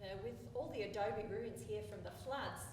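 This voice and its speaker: A woman's voice: mid-range voice, moderate pace, lightly acted. A woman acting an earnest, chatty devotee in a stage play, giving matter-of-fact explanations and instructions. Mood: explanatory. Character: earnest, chatty female devotee, Moonseed, showing a newcomer around. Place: indoor theatre stage. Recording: distant mic, very echoey noisy theatre.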